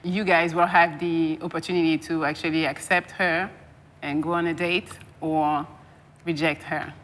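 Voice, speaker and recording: A woman hosting a dating show, explaining the rules in a brisk, upbeat way. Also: low voice, flat delivery, a bit bright. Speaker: host. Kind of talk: casual hosting.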